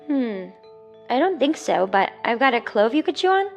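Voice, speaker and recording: A woman's voice: on the high side, big pitch swings, moderate pace, highs cut off, soft background music. A woman playing a friendly young 1950s housewife in a radio drama.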